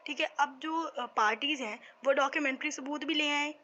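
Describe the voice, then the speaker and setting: A woman's voice: sits high, moderate pace, bright, forceful tone, muffled recording, a little hiss or hum. A woman with a Pakistani accent giving an informal explanatory lecture as a teacher, conversationally asking questions and answering them herself. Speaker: law teacher.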